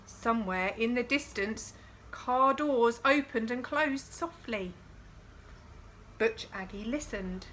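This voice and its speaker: A woman reading a picture book aloud to young children in an expressive storytelling style, with chatty questions to the listeners. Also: fairly high pitch, slow, slight background noise, poor audio. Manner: addresses young listeners with questions and dramatised sound words.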